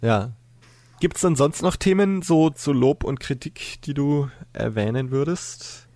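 A man's voice: mid-range voice, a little slow, warm tone, no room sound. A man hosting a podcast, talking casually in a reflective, explanatory way. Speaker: podcast host. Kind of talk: casual discussion.

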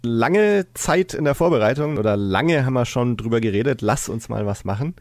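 A man's voice: medium pitch, lively intonation, fairly quick, a little mellow, no echo. Casual male podcaster, dry sound. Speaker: podcast host. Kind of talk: casual chat.

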